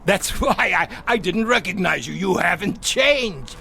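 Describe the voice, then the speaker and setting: A haunted, high-strung former officer. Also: male voice, animated, creaky, bright, forceful tone, faint music behind, heavily acted. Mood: jovial disbelief. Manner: halting, blustering, defensive. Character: haunted former SS captain, evasive and agitated. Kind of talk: vintage television drama acting.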